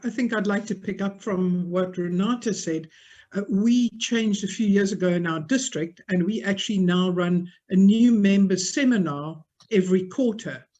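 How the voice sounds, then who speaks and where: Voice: medium pitch, normal speed, darkish tone. Club member from south africa, easygoing chat on a call, mid voice. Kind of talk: video call discussion. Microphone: video call.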